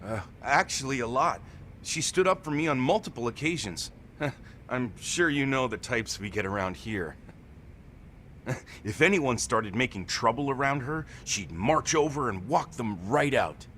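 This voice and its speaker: A man's voice: mid-range voice, lively intonation, measured pace, fairly bright tone, slight background noise. An actor playing a mild, hesitant male shop worker who chats politely in a game cutscene dub. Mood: fond recollection.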